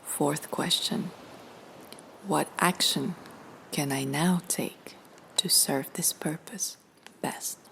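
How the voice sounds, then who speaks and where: A woman reading a scripted guided meditation in a soft, breathy, near-whispered voice with pauses. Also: low voice, steady tone.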